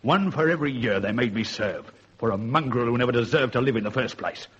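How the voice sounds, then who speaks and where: Male actor as coolly sardonic older male killer, radio play; rough-edged husky voice, wordy, dull recording. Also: medium pitch, close to an everyday voice. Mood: cold, bitter. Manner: measured, wordy, mock-courteous. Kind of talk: radio drama acting.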